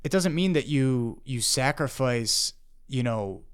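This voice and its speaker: A man's voice: mid-range voice, animated, takes it slowly, smooth. A man hosting a casual podcast conversation, rambling with lots of fillers and restarts. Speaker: podcast host.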